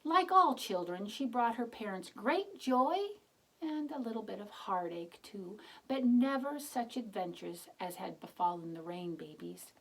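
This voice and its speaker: Female storybook reader, expressive, medium pitch, child-directed, explanatory asides, gentle questions. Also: unhurried, breathy edge, poor audio. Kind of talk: read-aloud narration with simple asides to young children.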